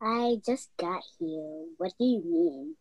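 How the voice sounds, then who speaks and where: A woman's voice: on the low side, expressive, slow, a little mellow, husky, dry recording, exaggerated voice, low-quality recording. A young woman playing a self-important, competitive female detective in a radio mystery play. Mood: businesslike.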